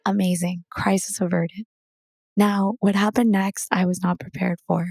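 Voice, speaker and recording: A young woman hosting a podcast, telling a story in a chatty, animated way and then welcoming a guest. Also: medium pitch, moderate pace, vocal fry, no echo, faint music behind. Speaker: podcast host. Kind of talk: casual storytelling and interview chat.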